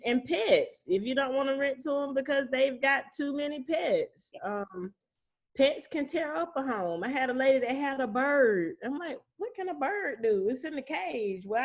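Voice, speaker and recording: A woman speaking as a property manager, explaining things informally and illustrating points with anecdotes and imagined exchanges. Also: sits high.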